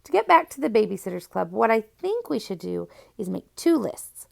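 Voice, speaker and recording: A woman's voice: mid-range voice, very animated, moderate pace, vocal fry, a little mellow. A woman voicing a blunt, bossy, eager preteen girl who speaks insistently in a children's storybook. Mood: businesslike, eager. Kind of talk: children's storybook character reading.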